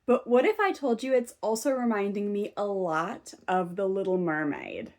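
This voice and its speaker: A woman hosting a scripted commentary video, talking in a measured, analytical way. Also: mid-range voice, expressive, darkish tone, faint music behind. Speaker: video essay host.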